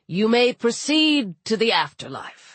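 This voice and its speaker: A woman's voice: a little high, big pitch swings, a little slow, no room sound. A woman voicing a weary, dignified father who rules the underworld, speaking in a firm, dry, formal way. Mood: dry, solemn.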